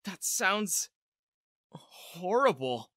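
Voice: mid-range voice, very animated, extremely slow, sharp tone, no room sound, clean studio sound, pitched above the performer's own voice, exaggerated voice. A narrator voicing a flustered, timid, reluctant ordinary character who stammers and hesitates in storybook dialogue. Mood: nervous, hesitant. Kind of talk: audiobook-style character dialogue.

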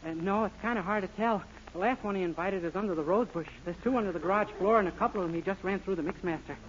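A man's voice: sits high, fast, lightly acted. An actor playing a naive, eager young man who spins wild tales in a 1940s radio sitcom. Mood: deadpan. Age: young adult. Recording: muffled, hissy old 1940s radio recording.

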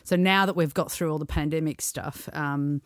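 An Australian woman, a singer, chatting on a podcast in a lively storytelling way and laughing often. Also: low-pitched, moderate pace, dry recording. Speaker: singer guest. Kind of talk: casual podcast chat.

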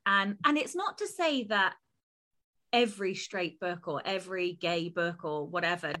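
A young adult woman co-hosting a casual podcast, animated and rambling, laughing often. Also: on the low side, normal speed. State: voice lower than usual. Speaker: podcast co-host. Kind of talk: casual chat.

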